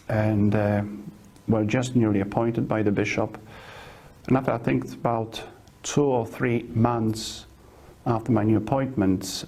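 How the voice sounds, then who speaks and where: A middle-aged priest from Poland, a Polish native speaker, gives a personal testimony, speaking slowly and haltingly with pauses and fillers. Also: male voice, mid-range voice, a little mellow. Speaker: parish priest.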